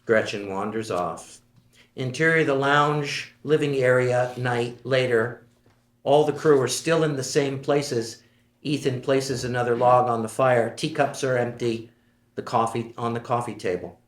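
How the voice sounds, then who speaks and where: A male voice reads stage directions at a table read in a measured, steady way. The room gives his voice a clear echo. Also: medium pitch. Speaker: narrator reading stage directions. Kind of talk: screenplay table reading. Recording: echoey room.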